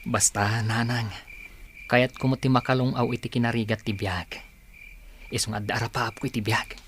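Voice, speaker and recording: A man's voice: medium pitch, steady tone, normal speed, fairly bright tone, slight background noise, close to an everyday voice. A man acting in a radio drama as an earnest young son who dreams of escaping poverty. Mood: earnest, subdued.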